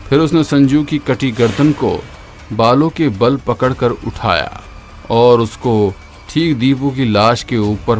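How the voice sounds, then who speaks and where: A man tells a horror story in a steady, dramatic voice of middle pitch, sinking lower for the grim parts. Loud eerie music plays under his narration throughout.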